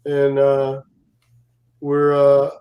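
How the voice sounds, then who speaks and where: A man in his forties, a guest co-host chatting casually on a live stream, with a loud, carrying voice and a rambling way of telling stories full of fillers and restarts. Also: mid-range voice, monotone, drawn-out words, dull audio.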